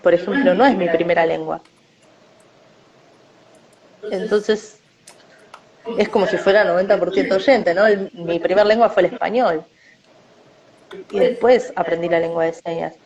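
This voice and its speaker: A woman with a Rioplatense Spanish accent giving measured interview answers, often tagging her sentences with a questioning "no?". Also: on the low side, crowd noise, low-quality recording. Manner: measured, often adds "no?" tags.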